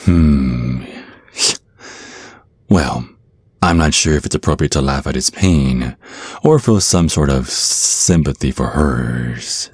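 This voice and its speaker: A man playing a sinister vampire naga kidnapper in an ASMR roleplay, slow and deliberate, with menacing politeness and a husky voice. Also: a bass voice, darkish tone, dry recording, full character voice. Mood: cold, scheming.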